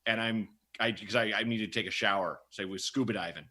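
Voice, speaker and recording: Bright, punchy man bantering casually. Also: on the low side, fast. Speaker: comedy podcast host. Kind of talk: casual comic banter and storytelling.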